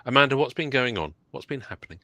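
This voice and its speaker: Throaty English man, lively chatty voice. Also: medium pitch, quick pace, no room sound. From England. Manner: chatty, rambling, joking. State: getting over a cold, throaty. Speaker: podcast co-host. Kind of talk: casual live-stream chat.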